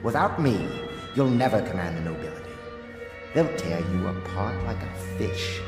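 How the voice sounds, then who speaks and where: A man's voice: on the low side, big pitch swings, moderate pace. A man playing a haughty, self-important nobleman in a video game, with a pompous, snobbish manner. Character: haughty, petulant male aristocrat, self-important schemer. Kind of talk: video game character acting. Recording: echoey hall, music underneath. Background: music.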